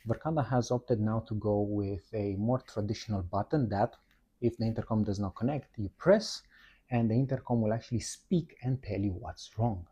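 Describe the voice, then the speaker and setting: A man's voice: fairly low pitch, moderate pace, dark tone, husky, dull audio. A man narrating a product walkthrough, explaining methodically, one step at a time. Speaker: product presenter, installer.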